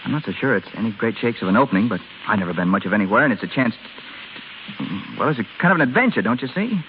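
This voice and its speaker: An actor playing an earnest, bashful young American suitor in old radio drama style, talking colloquially with stammers and halts. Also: male voice, lowish voice, expressive, fast, a little hiss or hum, close to an everyday voice. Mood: nervous, earnest. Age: young adult. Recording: dull old radio recording.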